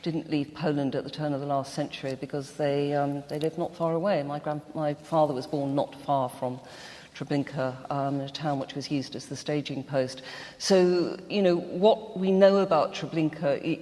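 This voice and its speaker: A woman speaking as a panel guest in a literary discussion, with emphatic, deliberate phrasing. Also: low-pitched, warm tone. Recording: reverberant hall.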